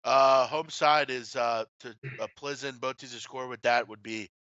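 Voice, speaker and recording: A man's voice: mid-range voice, steady tone, unhurried, a bit bright, no room sound, lo-fi sound. A man hosting a casual sports betting discussion, blunt and opinionated in a colloquial way. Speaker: host of a sports betting show.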